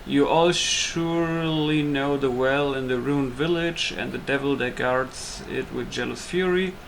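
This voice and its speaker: A man's voice: mid-range voice, a little slow, creaky, slight background noise, low-quality recording. A man reading a game notice aloud as a troubled village father, in a formal, declamatory address. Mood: pleading, proclaiming. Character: troubled village father pleading for a monster slayer.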